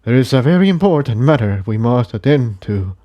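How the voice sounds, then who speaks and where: A man's voice: mid-range voice, expressive, a little fast, highs cut off, natural voice. A man voicing an elderly, weary pony ruler with a quavering, solemn delivery in a story reading. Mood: grave, commanding.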